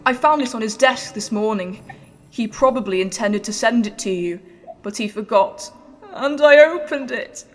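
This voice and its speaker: A woman's voice: fairly high pitch, normal speed, natural voice. A young woman acting in an audio drama as a jealous, aggrieved wife, speaking in an earnest, plaintive and dramatic way. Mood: bitter. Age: young adult. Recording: reverberant hall-like room.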